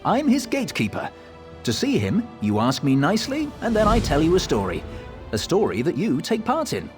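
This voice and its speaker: An actor voicing a whimsical, coy gatekeeper who tells stories theatrically in a fantasy game. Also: male voice, on the high side, wildly swooping pitch, normal speed, soft background music. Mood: playfully instructive. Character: whimsical, courteous-demanding male gatekeeper and storyteller. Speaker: storytelling gatekeeper.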